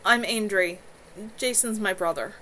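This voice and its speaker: A proud, guarded young werewolf woman, read by a woman. She speaks in short, reluctant lines. Her pitch is middle, as in a storybook read aloud. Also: measured pace, bright, forceful tone, a little hiss or hum, poor audio. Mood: guarded, terse. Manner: terse, reluctant.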